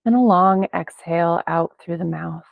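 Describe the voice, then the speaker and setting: A woman leading a guided meditation in gentle, unhurried phrases with long pauses between them. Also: fairly low pitch, creaky, dry recording. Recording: band-limited, phone-like sound, dry.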